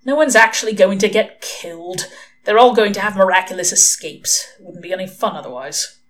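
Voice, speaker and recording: A woman's voice: medium pitch, brisk, a little hiss or hum, lightly acted. A woman voicing a sardonic, impatient male demon in an audiobook, with snappy, exclamatory delivery. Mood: grudging, snappish. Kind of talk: audiobook character reading.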